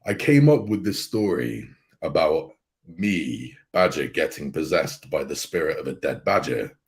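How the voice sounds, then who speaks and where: A man with a very deep voice, a guest voice actor telling his story in relaxed casual chat and laughing easily. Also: measured pace.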